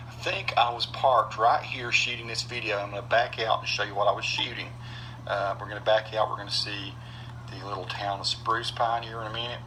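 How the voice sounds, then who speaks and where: A middle-aged man, speaking low and bright-toned as he narrates his own footage. He rambles, halts and restarts often, with a faint hiss of noise behind him. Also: poor audio. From North Carolina mountains, US. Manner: rambling, halting, many fillers and restarts. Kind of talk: casual vlog narration over own footage.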